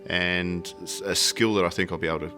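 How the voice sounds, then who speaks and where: A young man, a student teacher, reflecting deliberately in a testimonial. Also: low voice, fairly even intonation. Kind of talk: video testimonial. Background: background guitar music.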